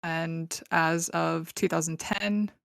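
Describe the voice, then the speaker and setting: A woman's voice: low voice, steady tone, slow pace, vocal fry, smooth, no room sound. A young woman hosting a true crime podcast, telling the case in a chatty, laughing way with lots of fillers, on a close mic. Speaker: podcast host. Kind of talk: casual true crime storytelling.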